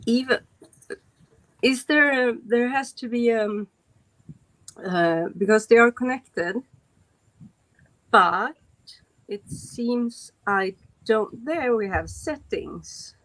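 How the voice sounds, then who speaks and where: Swedish woman, native Swedish speaker, slow unhurried pace, halting with lots of fillers, casual stream chat on a headset. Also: a little high, expressive, fairly bright tone, poor audio. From Sweden. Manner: halting, with many fillers and restarts.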